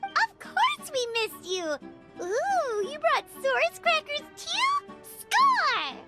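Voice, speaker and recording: Game fairy companion: tiny, cheeky, childlike, extremely high and swooping. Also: female voice, huge pitch swings, drawn-out words, loud music behind the voice, exaggerated voice. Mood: delighted. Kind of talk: video game voice acting.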